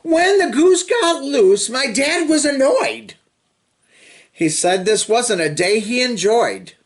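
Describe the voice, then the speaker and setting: A man reading a children's picture book aloud in an expressive, sing-song way, with drawn-out phrasing and chatty asides. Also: very high voice. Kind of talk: animated storybook read-aloud with chatty asides.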